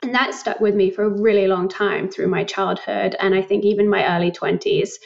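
A young woman from England, a company founder talking in a casual interview, thoughtful and quick to laugh. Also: mid-range voice, fairly even intonation, gravelly, lo-fi sound. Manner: reflective, laughs often, many likes. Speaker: guest, startup founder.

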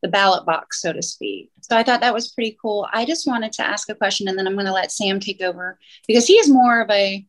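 A woman's voice: medium pitch, a little fast, few pauses, rough recording. Casual woman co-host, chatty and a little hesitant when asking questions. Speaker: podcast co-host. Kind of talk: casual interview.

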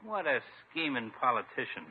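An actor playing a cocky, folksy small-town barber with slangy, wisecracking talk, in 1950s radio comedy style. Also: male voice, mid-range voice, sing-song, moderate pace, slightly rough. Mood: egging on. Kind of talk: 1950s radio sitcom acting. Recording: muffled narrow-band 1950s radio recording.

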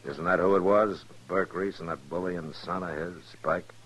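An actor playing a calm, wry frontier lawman, laconic and dry, in an old radio western. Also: low voice, normal speed, husky. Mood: measured. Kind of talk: 1950s radio western acting. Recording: faintly noisy old radio recording.

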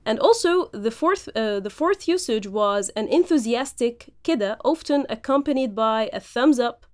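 A woman with a somewhat high voice hosts a language-learning podcast. She explains each point step by step, pausing often with fillers as she teaches. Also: expressive. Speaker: podcast host and Arabic teacher. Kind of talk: lecture-style podcast recap.

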